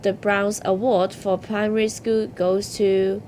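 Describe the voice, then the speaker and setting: A woman's voice: mid-range voice, level tone, slow pace, heavy background noise. A woman hosting an awards ceremony, reading scripted announcements.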